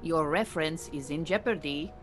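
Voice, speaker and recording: An actress playing an exacting Iranian refugee mentor in an audio play, speaking formally and precisely with dry, bossy authority. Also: female voice, deep voice, moderate pace, over music, lightly acted. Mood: dry, disapproving. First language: Farsi. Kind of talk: audio theatre acting.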